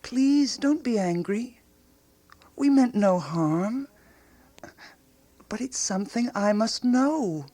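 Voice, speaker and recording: A woman's voice: on the high side, expressive, takes it slowly, long pauses, warm tone. Earnest, eccentric woman with swooping intonation , acted. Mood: pleading. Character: Gertrude, eccentric, matter-of-fact, obsessive woman amateur investigator. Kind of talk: radio drama acting.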